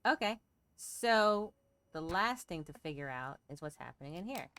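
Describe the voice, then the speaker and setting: A woman's voice: on the low side, big pitch swings, moderate pace, a bit bright. A woman giving casual play-along commentary as she works through a game.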